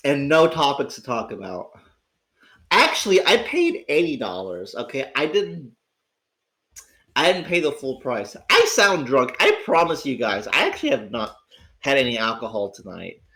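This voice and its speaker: Sick, raspy man rambling on stream. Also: mid-range voice, normal speed. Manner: rambling, swearing, excitable, many restarts. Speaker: livestream host. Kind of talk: casual livestream chat. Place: at home.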